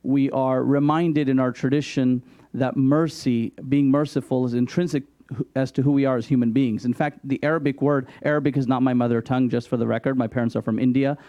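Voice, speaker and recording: A man addresses a public hearing as an imam in a measured, explanatory way. His tone is mellow and dark. The recording is dry and a little dull. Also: medium pitch, steady tone, dry recording. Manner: measured, explanatory, lightly self-deprecating. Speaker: imam, panelist.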